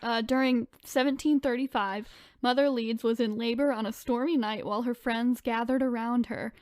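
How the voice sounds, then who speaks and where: High-voiced woman, chatty podcast co-host. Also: fairly even intonation, moderate pace, darkish tone, dry recording. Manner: informal, chatty, frequent asides. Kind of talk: casual chat, reading out descriptions.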